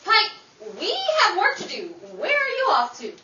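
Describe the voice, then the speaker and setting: A woman playing a bossy, brisk Hollywood party organiser in an old-time radio comedy, emphatic as she directs everyone. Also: very high-pitched, big pitch swings, muffled recording. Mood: brisk, urgent. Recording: roomy, distant mic with clear noise.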